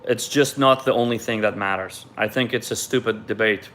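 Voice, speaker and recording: A man's voice: fairly low pitch, steady tone, normal speed, a bit bright. A man from Ukraine, raised in Israel, reads viewer questions aloud on a live stream and answers them in a loose, candid way.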